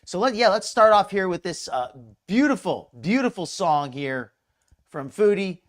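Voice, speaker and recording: A slightly hoarse man hosting a live reaction stream, chatty and mocking, greeting viewers in chat by name. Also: high-pitched, animated, moderate pace. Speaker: commentary livestream host. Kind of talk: casual live reaction commentary.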